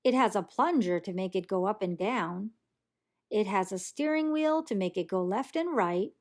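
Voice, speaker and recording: A woman reading a children's storybook aloud in an expressive, animated way that acts out the action. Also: mid-range voice, normal speed. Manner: animated, acts out sound effects and character lines. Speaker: storybook reader.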